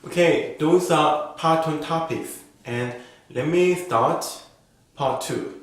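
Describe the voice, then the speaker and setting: Slow, careful man in an echoey room. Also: fairly high pitch. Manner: slow, careful, model-answer delivery. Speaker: IELTS speaking teacher. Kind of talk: reading sample exam answers as a lesson.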